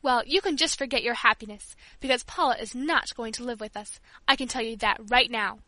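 A woman's voice: on the high side, fairly quick, bright, forceful tone, no room sound. A woman voicing a bitter, irritable invalid teenage girl in a storybook reading, speaking sharply and scolding. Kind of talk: audiobook character voice.

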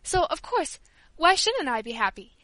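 A woman reading aloud plays an impulsive little girl who rushes her words in eager excitement. The voice sits very high and bright, recorded close and dry like a storybook audiobook. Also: no room sound. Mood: excited. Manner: eager, breathless, pleading. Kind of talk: audiobook character voice.

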